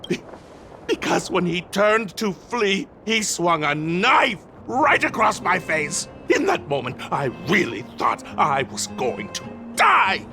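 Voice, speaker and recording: A man voicing a nervous, easily frightened night patrolman in a video game, stammering and halting as he talks. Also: on the high side, creaky, pushed and bright, heavily acted.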